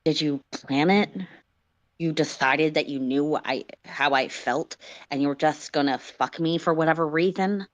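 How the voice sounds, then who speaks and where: A woman voicing a bitter, wounded male alien who interrogates with sharp, accusing demands in a story reading. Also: sits low, unhurried, no echo, muffled recording. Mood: hurt, accusing.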